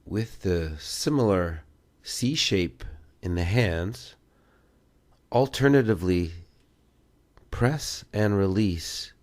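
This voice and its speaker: A man with a low voice leads a guided relaxation exercise. He speaks very slowly, in short instructional phrases with pauses between them. The sound is dry and close, with no room reverb. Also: a little mellow. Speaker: meditation and self-massage instructor.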